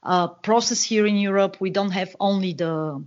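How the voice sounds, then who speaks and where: A woman's voice: mid-range voice, normal speed, darkish tone. A woman from Bulgaria with a Bulgarian accent, speaking as a guest analyst on a podcast and talking with many fillers and restarts. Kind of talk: podcast interview, explaining. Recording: dull lo-fi call audio.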